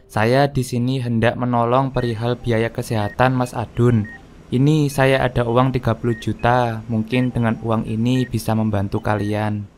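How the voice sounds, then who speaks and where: A courteous charity foundation owner, played by a man, speaks in a formal, measured way at a middle pitch. Faint music sits behind him in this cartoon drama. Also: flat delivery, a little mellow, close to an everyday voice. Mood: polite. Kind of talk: animated cartoon voice acting.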